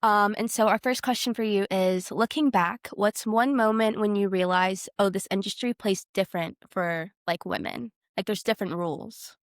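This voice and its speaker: A young woman with a mid-pitched voice co-hosts a podcast. She talks in a casual, chatty style, recorded close and dry without room echo. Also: normal speed, few pauses. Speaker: podcast co-host. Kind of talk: casual chat.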